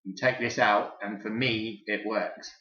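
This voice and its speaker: Male toy reviewer, lowish voice, chatty and enthusiastic, casual and rambling delivery; roomy, slightly muffled home-recorded sound. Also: steady tone, measured pace, a bit bright. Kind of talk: casual hands-on product review. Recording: roomy echo, dull lo-fi sound.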